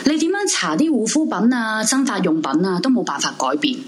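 A woman's voice: medium pitch, very animated, fairly quick, lightly acted. A woman playing a lonely, weary, heartbroken woman in a horror radio drama, brooding and melancholy, in a noisy live recording. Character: lonely, weary, clinging heartbroken woman past twenty-five.

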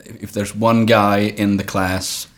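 A man's voice: fairly low pitch, level tone, takes it slowly, fairly bright tone. A man in his forties from Sweden, a stage actor chatting as an interview guest, hesitant with many fillers and restarts. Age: 40s. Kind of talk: casual interview conversation.

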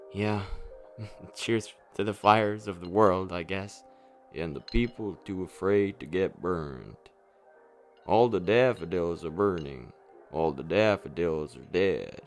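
A man acting a weary, hard-drinking, despairing superhero in a darkly comic radio play, brooding and rambling with a sardonic edge. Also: sits low. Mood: bleak, wry. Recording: music playing under the voice.

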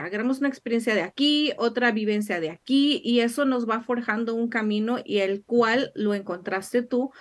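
A woman interviewing her guest in an encouraging, affirming conversational way. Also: on the high side.

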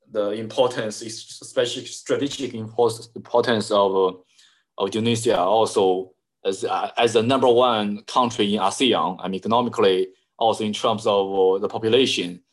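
Hesitant man with frequent restarts. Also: on the low side. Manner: hesitant, many fillers and restarts. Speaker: interview guest, analyst. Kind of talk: conversational interview answers.

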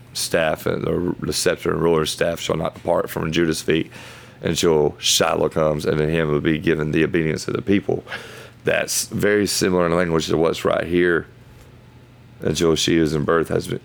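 Deep gravelly man, hoarse and halting. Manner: halting, thinking aloud in loose phrases. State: hoarse, losing his voice. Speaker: podcast host. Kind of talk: casual Bible study talk.

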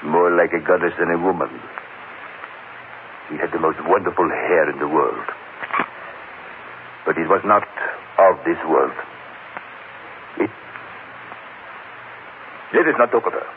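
Proud, formal sculptor, tinny old radio. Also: male voice, deep voice, a little hiss or hum. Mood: brooding. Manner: formal, deliberate, stilted phrasing without contractions. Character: cultured middle-aged male sculptor, proud and guarded. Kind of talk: old-time radio drama acting.

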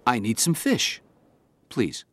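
A man playing a humble, gentle fisherman and younger brother, polite and earnest, in a children's audio drama. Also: medium pitch, wildly swooping pitch, slow, soft background music, heavily acted.

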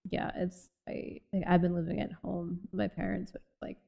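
Gravelly young woman hosting a podcast. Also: on the low side, level tone, moderate pace, highs cut off. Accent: light Boston. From Boston. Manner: rambling, many "like" fillers, laughs often. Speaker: podcast host. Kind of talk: casual chat.

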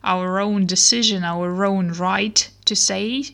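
A woman with a noticeably gravelly, creaky voice talks in a casual discussion. She is reflective and often pauses to search for the right word. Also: mid-range voice, fairly even intonation, fairly bright tone.

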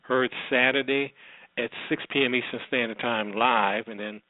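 A man's voice: mid-range voice, fairly even intonation, moderate pace, no room sound. Phone-line male radio host, casual and rambling. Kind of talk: casual, unscripted radio show introduction. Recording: tinny, phone-like internet radio audio.

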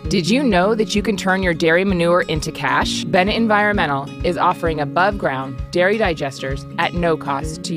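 A woman reading a scripted advertisement in a smooth sales delivery. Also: low-pitched. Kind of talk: radio ad read. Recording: ad with loud music bed. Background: guitar music.

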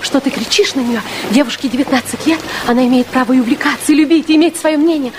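A girlish, high-pitched young sweetheart, played by a woman, confides anxiously and openly. The acting is that of 1960s radio theatre, with clear noise over the recording. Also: quick pace, close to an everyday voice. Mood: eager, confiding. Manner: girlish, confiding, emotionally open. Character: anxious, candid nineteen-year-old sweetheart from a professional family. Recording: noisy 1960s radio recording, rain-like hiss.

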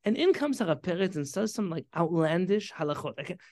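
A man in his thirties from San Diego, a rabbi, telling his story in an animated way with Hebrew terms and frequent restarts. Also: high voice, brisk, no echo, clean studio sound. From San Diego, California. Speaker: podcast guest, rabbi. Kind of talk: conversational interview storytelling.

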